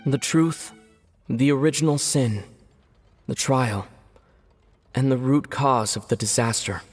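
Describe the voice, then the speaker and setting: A man's voice: medium pitch, close to an everyday voice. An actor playing a solemn, ominous man in a video game, delivering grave pronouncements portentously. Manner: slow, portentous. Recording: reverberant hall with music.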